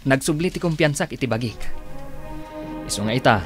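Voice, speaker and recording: Man as humble, eager young job seeker, mid-pitched. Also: expressive, a little fast, over music, lightly acted. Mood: proud, sincere. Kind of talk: radio drama acting in Ilocano.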